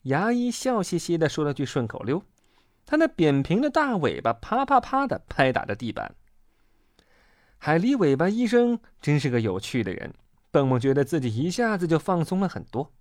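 A storyteller narrating a children's storybook in an expressive, dramatic way. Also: mid-range voice, moderate pace, no echo, studio quality.

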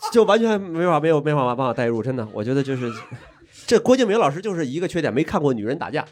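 Man, co-host, higher voice, joking, laughs at own punchlines, background noise. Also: very animated, fast. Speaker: podcast co-host. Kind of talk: comic podcast banter.

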